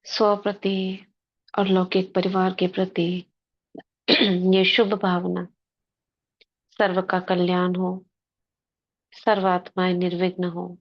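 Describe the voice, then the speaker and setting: Slow, even-voiced woman guiding meditation. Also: fairly low pitch, muffled recording. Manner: measured, devotional, in short phrases with pauses. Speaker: meditation leader. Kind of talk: guided spiritual meditation.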